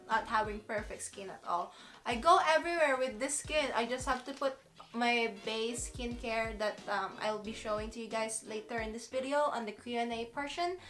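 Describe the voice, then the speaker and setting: A woman's voice: a little high, pushed and bright. A woman in her twenties with a Filipino accent, chatting casually and giggling as she talks about skincare. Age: 20s. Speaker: beauty vlogger. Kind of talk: casual chat to camera.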